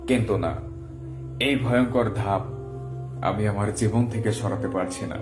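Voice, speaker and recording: A man's voice: lowish voice, unhurried. A man narrating a ghost story in the first person as a horror storyteller. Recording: echoey voice over music bed.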